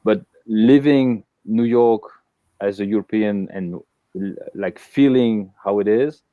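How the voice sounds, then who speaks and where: Low-voiced Belgian man, hesitant. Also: takes it slowly, no echo, phone-quality sound. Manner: hesitant, many fillers and restarts. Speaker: host. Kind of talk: casual live interview chat.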